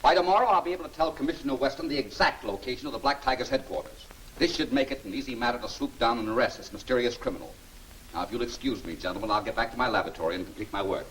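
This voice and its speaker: An actor playing a confident scientist-detective hero in a 1940s film serial, explaining things in a brisk, assured way. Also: male voice, mid-range voice, fairly bright tone, close to an everyday voice. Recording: faintly noisy old 1940s film soundtrack.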